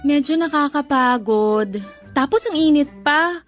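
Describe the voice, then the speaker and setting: A bubbly young new tenant. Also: female voice, sits high, expressive, measured pace, soft background music. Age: young adult.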